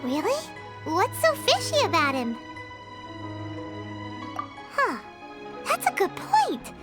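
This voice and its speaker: A woman voicing a tiny, excitable, childlike fairy girl in a video game, squeaky, curious and chatty. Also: takes it slowly, heavily acted. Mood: curious, eager. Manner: refers to self in third person, exclamatory. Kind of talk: video game character acting. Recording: music under the voice. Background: game music.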